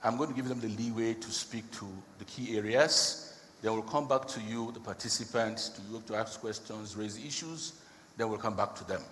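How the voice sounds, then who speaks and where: A man with a low voice chairs a conference panel. He is firm and deliberate and sets out his questions one at a time. His voice carries a long hall reverb over faint background noise. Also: a bit bright. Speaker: panel moderator.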